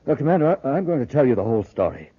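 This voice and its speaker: A man's voice: mid-range voice, big pitch swings, rapid-fire, breathy edge. An actor playing an honest, nervous businessman, hesitant and earnest, in a 1950s radio serial. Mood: earnest, anxious. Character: nervous but honest businessman under blackmail. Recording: narrow, tinny old 1950s radio recording.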